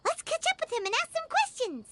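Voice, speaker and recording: A woman voicing a small, hyperactive female fairy companion in a video game, bouncy and excited. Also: brisk. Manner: excited, bouncy, high-pitched. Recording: game audio with loud background music.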